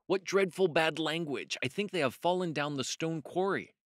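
A man's voice: a little high, normal speed, a bit bright, no room sound, clean studio sound, voice pushed up, natural voice. A man voicing a frightened, dithering young rabbit in a children's storybook, panicky and wavering. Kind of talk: children's storybook read-aloud.

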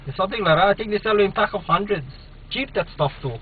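A man's voice: sits high, expressive. A man with a Hawaiian Pidgin accent on a phone call from a car, ordering fencing supplies and restarting his words as he explains. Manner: halting, repeats words while explaining. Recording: lo-fi camcorder audio, loud road noise.

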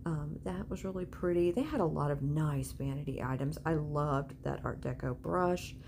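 Low-pitched, gravelly woman, chatting casually. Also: normal speed. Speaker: vlogger and antiques reseller. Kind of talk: casual shopping vlog voice-over. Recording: clear background noise.

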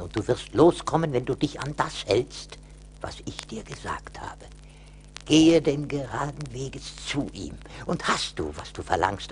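An actor playing a stern but pitying ancient little forest spirit in a radio play, solemn and admonishing. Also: male voice, mid-range voice. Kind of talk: 1980s German radio play acting. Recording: faint hiss of old vinyl record.

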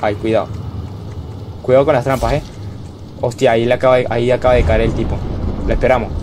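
A young man from Venezuela streaming live gameplay commentary, excitable and quick to repeat himself and yell when startled. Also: mid-range voice, background noise. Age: young adult. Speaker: gaming streamer. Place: home room, indoors.

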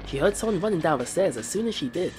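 A man's voice: fairly high pitch, expressive, quick pace, a little mellow, rough recording. A man reading a game's story text aloud for a playthrough, with small stumbles and restarts. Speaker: let's play narrator. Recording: game music playing behind.

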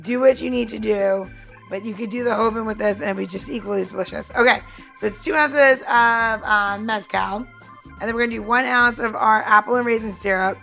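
Chatty high-pitched woman with a creaky voice. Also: brisk, sharp tone, soft background music. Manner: chatty, talks the listener through each step. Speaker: podcast co-host and bartender. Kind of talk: casual cocktail demonstration.

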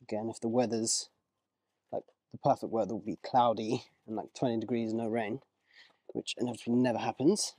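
Relaxed man talking through car washing. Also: mid-range voice, brisk, no echo. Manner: relaxed, rambling, self-correcting explanations. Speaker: car rental host. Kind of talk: casual vlog narration while working.

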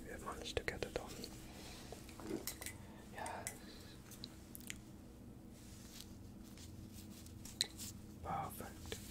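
A young man playing a gentle vampire doctor in an ASMR roleplay, whispering soothingly and reassuringly close to the microphone. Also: slow pace, lightly acted. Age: young adult. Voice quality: breathy whisper. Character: gentle, attentive male vampire doctor calming a patient. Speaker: ASMR performer.

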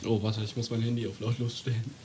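A man's voice: fairly low pitch, little pitch movement, fast talker, noisy background. A man hosting a live stream in casual, jokey chat.

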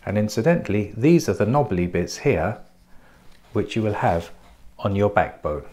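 A man in his forties presenting a clinic's treatment in a measured, explanatory scripted voice, recorded on a lapel mic in a bright treatment room. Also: on the low side, expressive. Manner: measured, explanatory, pointing things out.

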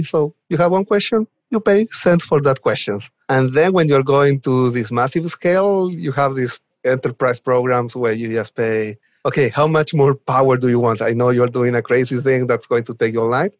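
A man's voice: mid-range voice, dry recording. Male developer advocate, dry close sound. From Chile. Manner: enthusiastic, talks in vivid examples. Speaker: podcast guest, cloud developer advocate. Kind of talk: conversational technical explanation.

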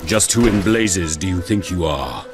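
A man's voice: low-pitched, lively intonation, measured pace, music in the background. An actor playing an imperious, suspicious emperor in a fantasy game, blustering and formal in his commands. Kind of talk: fantasy game cutscene acting.

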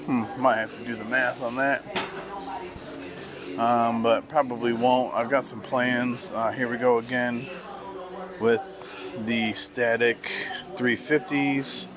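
Slow, musing man in a sneaker store. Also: medium pitch, flat delivery, crowd noise. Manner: slow, musing, lots of fillers. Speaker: sneaker vlogger. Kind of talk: casual walk-through commentary. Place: sneaker stores in a shopping mall.

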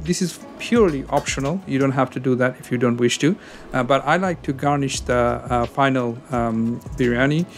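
Hesitant man narrating a cooking video. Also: mid-range voice. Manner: hesitant, many fillers. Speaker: cooking channel host. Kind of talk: casual cooking voice-over.

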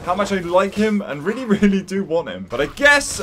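A man's voice: high voice, sing-song, brisk, fairly bright tone. A young man giving casual commentary as he plays through a video game. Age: young adult. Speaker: gaming video host. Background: video game music and sounds.

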